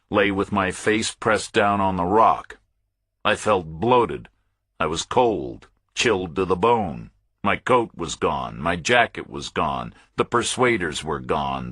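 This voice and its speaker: A man with a deep, rough-edged voice narrates a first-person thriller audiobook. His phrasing is terse and clipped, falling into short, separate statements. The recording is very dry, with no room sound around the voice. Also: a bit bright, dry recording.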